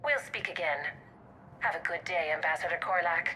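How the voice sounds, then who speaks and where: A calm, smoothly menacing operative. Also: female voice, on the low side, normal speed, faint music behind. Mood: coolly menacing. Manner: calm, polished, veiled threats. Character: cool female covert operative, smoothly threatening. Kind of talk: video game cutscene acting.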